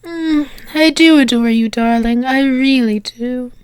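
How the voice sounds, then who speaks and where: A woman playing a teasing, lovestruck female demon in an intimate ASMR roleplay, speaking haltingly with fond endearments. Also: high voice, smooth, no echo. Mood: fond, reluctant.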